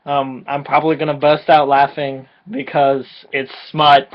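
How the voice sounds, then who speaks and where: A man's voice: fairly high pitch, level tone, unhurried, lo-fi sound. A slightly tipsy man bantering casually and reading aloud for comic effect in a crude, teasing, informal way. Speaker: co-host of a dramatic reading video.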